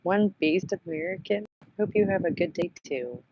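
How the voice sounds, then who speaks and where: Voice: mid-range voice, lively intonation, moderate pace, dark tone, a little hiss or hum. A host running a casual live book-club chat, rambling and self-deprecating, nursing a sore throat. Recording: dull lo-fi sound with a fan humming.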